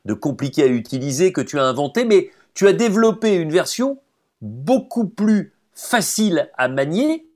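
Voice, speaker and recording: A man's voice: a little high, big pitch swings, moderate pace, a little mellow. A man interviewing a guest, putting questions in a conversational way.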